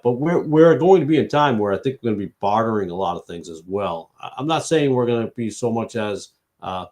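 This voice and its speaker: Stuffy, tired man with a low voice. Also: a little mellow. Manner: rambling, many restarts and fillers, thinking aloud. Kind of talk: late-night livestream talk on faith.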